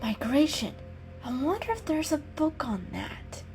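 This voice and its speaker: Woman voicing a high, wide-eyed curious storybook cat. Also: big pitch swings, a little slow, soft background music, heavily acted. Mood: musing. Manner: wondering, eager questions and soft musing. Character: curious, affectionate female pet cat, wide-eyed and eager.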